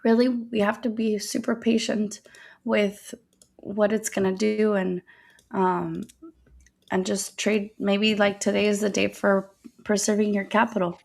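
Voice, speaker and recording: A woman's voice: medium pitch, flat delivery, a little slow, creaky, darkish tone. A woman co-hosting a live trading stream, giving casual market commentary.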